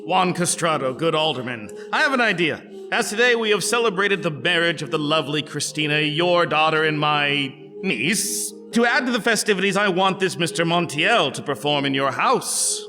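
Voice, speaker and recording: An actor playing a self-important town governor in a stage farce, with a pompous, declamatory manner. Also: male voice, fairly high pitch, animated, normal speed, natural voice. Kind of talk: theatrical staged reading. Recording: reverberant hall, music underneath.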